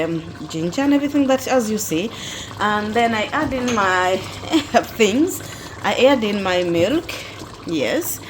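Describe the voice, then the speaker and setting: Ugandan woman doing a chatty kitchen vlog, mid pitch, bit of hiss behind her. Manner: chatty, self-correcting, explains each step. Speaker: vlogger. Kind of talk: casual cooking vlog. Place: home kitchen.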